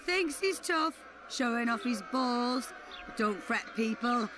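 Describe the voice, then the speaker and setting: A woman's voice: a little high, expressive, slow pace, noisy background. An actress playing a bawdy, defiant brothel woman in a video game, drawling mocking taunts.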